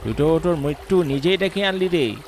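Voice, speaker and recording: A man's voice: a little high, lively intonation, a little fast, heavy background noise, lightly acted. A man voicing a murderous, taunting swamp ghost that threatens its victim in a horror cartoon. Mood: menacing.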